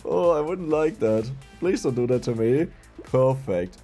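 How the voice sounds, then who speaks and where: A man's voice: mid-range voice, expressive, unhurried, dark tone, faint music behind. A young man from Germany with a German accent, chatting casually and laughing at himself while commenting on a video game. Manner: chatty, self-mocking, laughs often. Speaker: gaming YouTuber.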